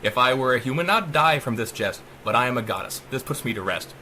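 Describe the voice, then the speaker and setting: AI villainess, acted out by a male reader: cruel, mocking, theatrical, bright and lively, horror story reading. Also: mid-range voice, quick pace, slight background noise. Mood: gloating. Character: sadistic, taunting female AI, self-styled goddess. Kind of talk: dramatic horror audiobook reading.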